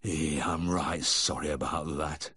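A man's voice: very deep voice, steady tone, takes it slowly, bright, forceful tone, slightly rough, no room sound, studio quality, voice pushed down, full character voice. A man voicing an apologetic, earnest farmer in a storybook, drawling in rustic dialect.

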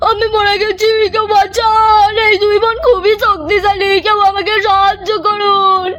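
A woman voicing a greedy, cowardly fisherman in a cartoon folk tale, excitable and whining. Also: very high voice, normal speed, pitched above the performer's own voice. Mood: panicked wailing.

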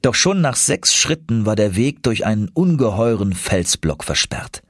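A man's voice: fairly low pitch, very animated, moderate pace, breathy edge, no echo, studio quality. A man narrating an adventure audiobook in a dramatic, scripted storytelling style.

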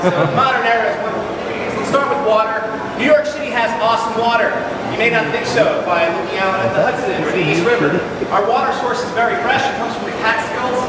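Young man, high voice, joking tour guide, distant in a booming hall, loud machine hum. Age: young adult. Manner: joking, upbeat, gesturing while standing. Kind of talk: guided tour talk to a group. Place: large indoor brewery hall with tanks. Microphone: camera in the crowd, several metres away.

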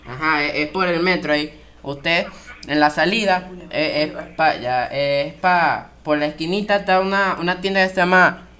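A man's voice: on the high side, normal speed, bright, forceful tone. A man with a Venezuelan accent making a prank phone call in casual talk, hesitant and repeating his words. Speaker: prank caller.

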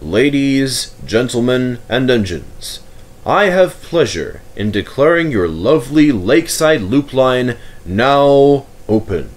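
Man voicing a dignified kindly storybook duke, formal, courteous, animated pitch. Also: slow.

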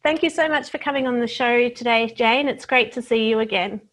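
A woman's voice: on the high side, brisk, fairly bright tone. A woman speaking as a podcast host, introducing and interviewing a guest.

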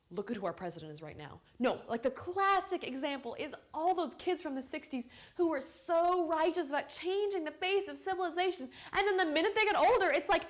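A woman's voice: high-pitched, voice pushed up. A young American woman acting an argumentative, exasperated young woman in a naturalistic contemporary monologue, rambling and emphatic. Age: young adult. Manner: rambling, emphatic, casual filler-laden delivery.

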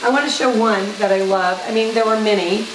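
A woman in her forties hosting a cooking show, hoarse from a cold, chatting in a playful, teasing way in a home kitchen. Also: medium pitch, fairly quick, background noise. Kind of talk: casual kitchen chat. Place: home kitchen set, indoors. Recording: roomy, distant sound with background music.

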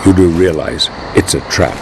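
An actor playing a calm, wary warrior companion in a video game, measured and sober. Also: male voice, low-pitched, background noise. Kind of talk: dramatic video game cutscene acting.

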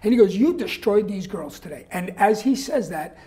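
An older man with a New York accent, a guest telling stories in a casual interview, animated and laughing often, acting out quoted voices. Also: high voice, moderate pace. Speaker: guest, guitar company rep. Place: small studio set with backdrop.

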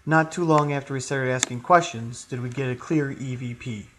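A man of average pitch narrates a paranormal investigation. He reads scripted narration, with a little noise sitting behind his voice. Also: moderate pace. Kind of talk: scripted narration of a ghost hunt.

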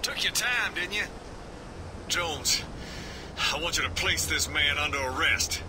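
A man's voice: a little high, animated, measured pace, distant mic. A man playing a stern, suspicious soldier in a video game, pointed and formal in manner. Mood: stern, accusing. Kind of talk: dramatic video game acting. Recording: noisy background ambience.

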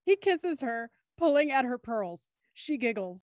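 A woman's voice: a little high, big pitch swings, a little slow, darkish tone, no echo, studio quality. A young woman reading scripted narration for an audio drama.

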